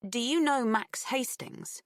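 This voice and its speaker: A woman voicing a sharp but awkward teenage girl in an audiobook, earnest, nervous and hesitant. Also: a little high, slow, no echo, clean studio sound, voice pushed up. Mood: probing.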